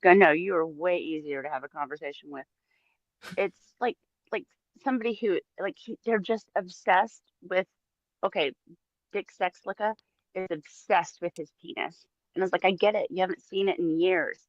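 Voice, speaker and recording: A woman's voice: fairly low pitch, normal speed, no room sound. Joking woman on slightly muffled, dry audio. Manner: mocking, animated joking. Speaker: livestream co-host. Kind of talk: casual banter.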